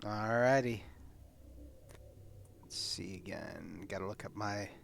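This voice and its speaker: A man's voice: fairly low pitch, takes it slowly, creaky. A man chatting casually as a tabletop game player, muttering and counting aloud.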